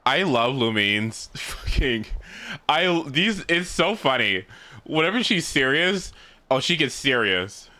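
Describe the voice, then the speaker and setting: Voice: mid-range voice, sing-song, slow pace, sharp tone, a little hiss or hum. A game streamer giving jokey, reactive, casual commentary over a game, swearing at times.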